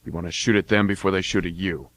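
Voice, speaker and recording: A man's voice: low voice, steady tone, fast talker, fairly bright tone. A man playing a laid-back mercenary older brother in an amateur radio drama, with a casual, folksy delivery. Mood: cagey, casual.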